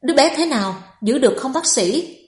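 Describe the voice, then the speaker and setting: A woman's voice: high voice, normal speed, echoey room, pitched above the performer's own voice, close to an everyday voice. A woman voicing an anxious old matriarch with an urgent, fretful manner in an audiobook story. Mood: anxious urgency. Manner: urgent, muttering.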